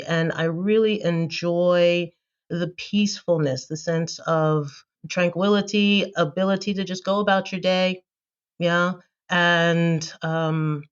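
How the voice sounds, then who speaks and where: Woman, American accent, middle-aged, measured and affirming, podcast guest, muffled call audio. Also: lowish voice. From Philadelphia, United States. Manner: affirming, measured, often agreeing. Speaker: podcast guest, business English coach. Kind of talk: casual interview chat. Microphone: remote call.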